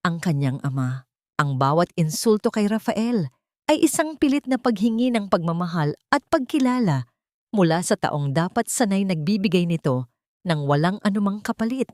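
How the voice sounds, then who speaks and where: Filipino female storyteller for audio drama; low voice, lively intonation, solemn and emotive delivery. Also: normal speed, no room sound. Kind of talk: dramatic scripted story narration.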